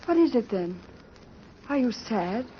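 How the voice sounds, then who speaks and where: A woman's voice: a little high, very animated, unhurried. An actress playing a sweet, tender, girlish young daughter and bride in a 1930s radio drama. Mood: affectionate, coaxing. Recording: muffled, noisy old radio recording.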